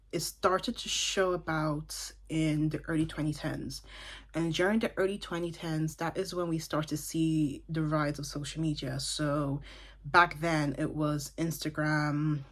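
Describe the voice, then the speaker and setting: A woman in her twenties from Dublin, chatting casually and thoughtfully about a book, with side remarks. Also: deep voice. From Ireland (Dublin). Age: 20s. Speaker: book vlogger. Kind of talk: casual book review talk.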